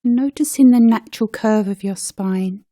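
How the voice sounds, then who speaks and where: A woman leading a guided meditation as a teacher, speaking gently and unhurriedly with pauses between short phrases. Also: mid-range voice, no room sound, clean studio sound.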